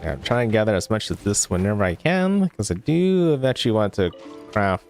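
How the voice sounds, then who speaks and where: A man's voice: lowish voice, very animated, fairly quick, creaky, darkish tone. A man livestreaming a video game, giving casual, rambling commentary as he thinks aloud. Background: game music.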